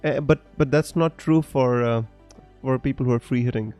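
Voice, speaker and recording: A man's voice: medium pitch, normal speed, darkish tone, faint music behind. A young man from Punjab with a mixed, hard-to-place accent, chatting casually on a live stream, reading comments with many fillers and restarts. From Punjab, India. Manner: chatty, frequent fillers and restarts, laughs often. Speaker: fantasy football streamer.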